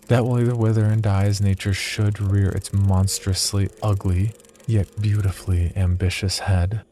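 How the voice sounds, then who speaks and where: A man acting as a cold, detached psychology researcher, reading a sinister diary in a measured, clinical horror-story narration. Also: low-pitched, slight background noise, close to an everyday voice. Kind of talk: horror audio fiction, first-person diary narration. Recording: loud music bed under voice. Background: loud music.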